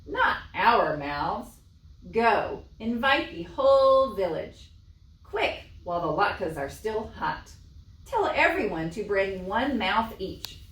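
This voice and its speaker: A woman's voice: medium pitch, sing-song, slow, fairly bright tone. Woman reading as decisive storybook rabbi in echoey room. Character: wise, decisive village rabbi, a bearded man. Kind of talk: children's storybook read-aloud. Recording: echoey room, far from mic.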